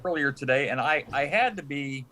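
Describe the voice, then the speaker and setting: A man's voice: mid-range voice, lively intonation, brisk, bright, forceful tone, a little hiss or hum. An older man from the Detroit area, an interview guest chatting casually and often repeating words as he starts. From Detroit area, United States. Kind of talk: casual anecdotal chat.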